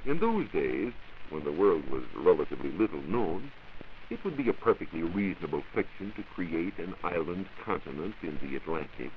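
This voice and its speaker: An American man giving a formal, measured science talk as a reporter in a scripted 1940s radio broadcast. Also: deep voice, big pitch swings. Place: radio studio. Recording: dull, hissy 1940s radio recording.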